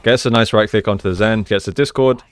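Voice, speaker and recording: A man with a fairly low, clean voice talks through gameplay footage in a casual coaching style. He explains plays conversationally, in a smooth tone. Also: fairly even intonation, quick pace. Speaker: esports coach and commentator. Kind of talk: casual gameplay analysis commentary.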